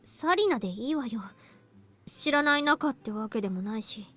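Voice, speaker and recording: A woman's voice: on the high side, lively intonation, moderate pace, bright, forceful tone. A woman playing a prickly teenage girl in a Japanese anime-style visual novel. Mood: curt, reserved. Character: prickly teenage girl, estranged childhood friend. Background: game music.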